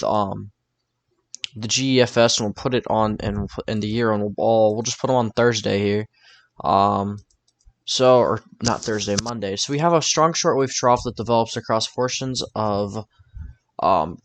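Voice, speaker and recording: A man's voice: fairly low pitch, a bit bright, no echo. A man talking informally through a weather forecast over maps, thinking aloud in a casual, tentative way. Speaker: weather forecaster presenting his own video.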